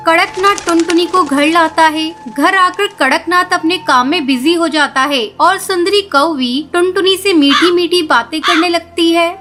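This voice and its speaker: A woman narrating a cartoon story in a steady, clear storytelling manner. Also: extremely high pitch, measured pace, few pauses, faint music behind.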